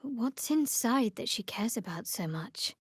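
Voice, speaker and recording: A close, dry-sounding, fairly high young woman's voice for a fantasy game cleric. She is guarded and devout, with a measured delivery and a wry, secretive edge. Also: lively intonation, husky, no room sound. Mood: hushed. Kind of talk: fantasy video game voice acting.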